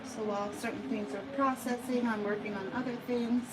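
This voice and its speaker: An American woman who grooms cats, chatting slowly through a bath demonstration and often asking "right?". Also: a little high, steady tone, recorded from a distance, heavy background noise. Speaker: cat groomer. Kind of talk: casual livestream grooming demo.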